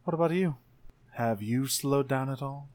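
A man's voice: medium pitch, normal speed, a little mellow, natural voice. A man voicing a proud, teasing female rebel musician in a theatrical, challenging manner for a tabletop game. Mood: teasing challenge.

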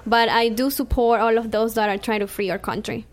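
A woman's voice: on the high side, fairly even intonation, a little fast. A young woman from Venezuela with a Venezuelan accent, a guest chatting casually in a radio interview, filling her talk with "um" and "you know.".

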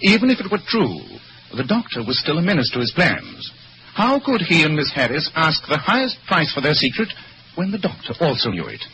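An actor playing a shrewd, masterful gentleman detective in an old-time radio drama, speaking precisely and theatrically. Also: a little high, very animated, noisy background. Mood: assured, commanding. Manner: brisk, commanding, theatrical, precise.

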